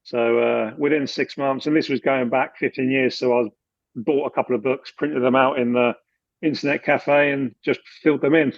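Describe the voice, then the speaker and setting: A man from the UK chatting in an interview, relaxed, with many fillers and restarts. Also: medium pitch, moderate pace, no room sound, lo-fi sound. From United Kingdom. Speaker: guest, expat finance expert. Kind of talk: casual interview conversation.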